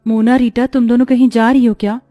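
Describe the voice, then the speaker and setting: A woman's voice: fairly high pitch, flat delivery, brisk, mellow, no echo, clean studio sound, faint music behind, lightly acted. A woman voicing a meek, overworked young stepdaughter in a melodramatic storybook tale, timid and pleading.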